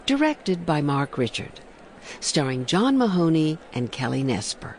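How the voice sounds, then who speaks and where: Casting: announcer for audio theatre intros, gravelly edge, animated phrasing, polished formal read, slight background ambience. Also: mid-range voice, unhurried, slight background noise.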